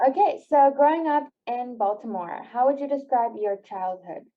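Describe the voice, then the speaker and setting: A woman's voice: high voice, unhurried. Young woman host asking questions. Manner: friendly questioning with hesitant "um"s. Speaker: podcast host. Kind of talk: casual interview. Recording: dull lo-fi sound.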